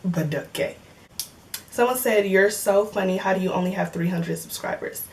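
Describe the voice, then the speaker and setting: A woman's voice: on the low side, moderate pace, vocal fry, far from the mic. A woman of twenty in casual chat, answering viewer comments in a joking, slangy way and laughing often. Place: home filming setup with cameras and lights.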